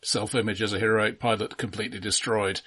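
A man co-hosting a film podcast, chatting casually about movies in a measured, halting, dryly wry way. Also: lowish voice, level tone, fairly bright tone, breathy edge. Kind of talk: casual film review chat.

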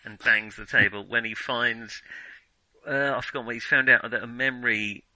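A man's voice: medium pitch, steady tone, moderate pace, pushed and bright, low-quality recording. A man co-hosting a casual film discussion, giving opinions hesitantly as he thinks them through. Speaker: film podcast co-host.

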